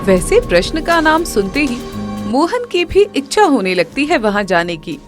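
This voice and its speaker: A woman narrating a cartoon story in an unhurried, expressive storybook manner over background music. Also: high voice.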